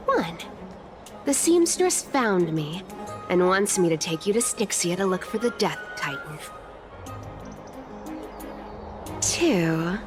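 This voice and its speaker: A cocky, cat-like trickster girl from a video game, teasing and flippant. Her pitch leaps around in lively swoops while she drawls at an unhurried pace, with music playing underneath. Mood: brisk, flippant. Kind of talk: video game character acting.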